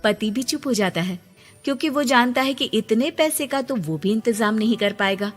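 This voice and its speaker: Female storyteller, higher-pitched, scripted moral-tale narration, long reverb, music underneath. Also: animated, moderate pace. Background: background music.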